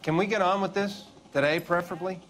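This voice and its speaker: An actor playing a dry, impatient 1940s crime scene official in a video game, speaking tersely. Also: male voice, medium pitch, lively intonation, fairly quick, natural voice. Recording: music behind the voice.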